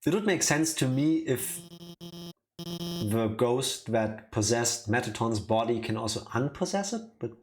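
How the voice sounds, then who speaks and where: A man's voice: medium pitch, animated, measured pace, soft background music, low-quality recording. A man giving casual commentary as he streams a video game. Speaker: let's play streamer.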